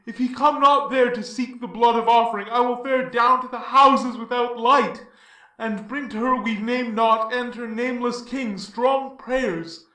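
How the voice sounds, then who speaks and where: High, ringing heroic demigod. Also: male voice, bright, forceful tone, heavily acted. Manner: grand, declamatory, impassioned. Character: mighty heroic demigod, grieved, indignant, then boldly resolute. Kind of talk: declaimed Greek tragedy in archaic verse. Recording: roomy echo, distant from mic.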